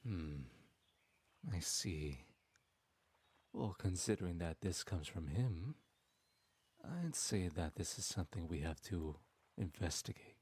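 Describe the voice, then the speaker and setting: A man voicing a sly, smooth-talking male trickster god in an audio roleplay, speaking in a silky, teasing and persuasive way. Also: low-pitched, expressive, slow pace, long pauses, darkish tone, heavily acted. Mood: scheming.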